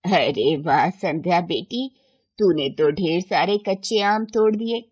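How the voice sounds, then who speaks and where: Old grandmother for a storybook tale, female narrator acting: unhurried, deliberate, gentle and caring, slightly husky. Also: lowish voice, expressive, lo-fi sound, exaggerated voice. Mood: affectionate. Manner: slow, deliberate, tender. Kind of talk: acted children's moral story.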